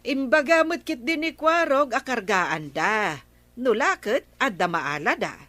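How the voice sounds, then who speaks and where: A woman's voice: a little high, expressive, a little slow, a bit bright, no room sound. A woman playing a chatty, frank village wife in a comedy radio drama, speaking with an Ilocano accent. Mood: neighborly.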